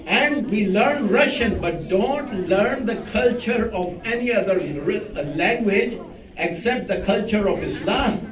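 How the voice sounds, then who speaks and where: Man, Pashto native, preaching, high voice, quizzes the crowd, distant mic. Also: lively intonation, unhurried. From Afghanistan or Pakistan. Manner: conversational, questions the audience, emphatic lists. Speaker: cleric giving a religious lecture. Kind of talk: sermon to a live audience. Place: large mosque hall. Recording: echoey hall, speaker off-mic.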